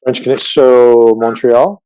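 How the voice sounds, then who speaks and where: A man's voice: medium pitch, fairly even intonation, a little slow, dark tone, smooth, dry recording, rough recording. A man whose first language is Farsi, hosting a casual interview in a chatty, joking way with many fillers. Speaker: podcast host.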